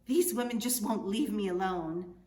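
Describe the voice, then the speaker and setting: A woman voicing a boastful, snobbish Spanish gentleman in a storybook, pompous and self-important. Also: high voice, moderate pace, lightly acted. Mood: smug, boastful. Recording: roomy, distant mic, light noise.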